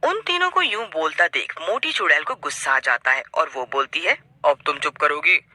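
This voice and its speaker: A woman's voice: deep voice, wildly swooping pitch, moderate pace, sharp tone, no room sound. A woman reading scripted narration for a children's story.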